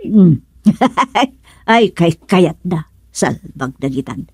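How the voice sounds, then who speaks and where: A woman's voice: fairly low pitch, big pitch swings, very slow, a little mellow, husky, dry recording, clean studio sound, full character voice. An actress playing a chatty, nosy village woman in an Ilocano radio comedy drama, exclaiming and muttering gossip. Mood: amused, curious.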